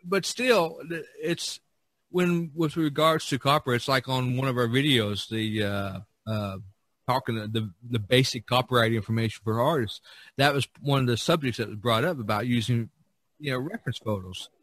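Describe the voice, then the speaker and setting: A middle-aged or older man hosting a casual podcast discussion, talking haltingly with lots of repetition. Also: mid-range voice, fairly bright tone, no room sound. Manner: halting, repetitive, peppered with 'you know'. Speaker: podcast host.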